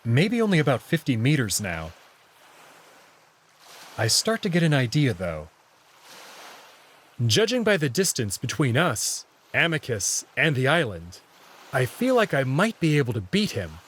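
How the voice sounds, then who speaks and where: A man reads a visual novel aloud in first person. His voice is mid-pitched and husky, and his narration is expressive, with lively movement in pitch. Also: a little slow, pauses often.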